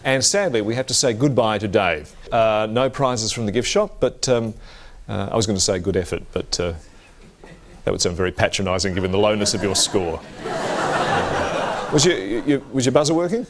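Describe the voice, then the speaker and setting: An actor playing a smug quiz show host who mocks a losing contestant with dry, halting asides. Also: male voice, lowish voice, lively intonation. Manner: dry, cutting, hesitant asides.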